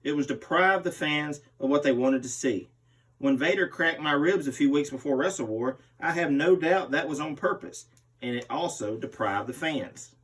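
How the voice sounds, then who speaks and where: A man's voice: on the high side, normal speed, fairly bright tone, breathy edge. A man reading a magazine interview aloud as a host, voicing the interviewee's part. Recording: distant microphone.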